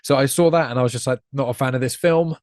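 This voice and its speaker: Fast-talking, congested man. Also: mid-range voice, a little mellow, no room sound, clean studio sound. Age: late 20s. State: congested from a cold and hay fever, chewing gum. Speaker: podcast guest. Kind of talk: casual chat.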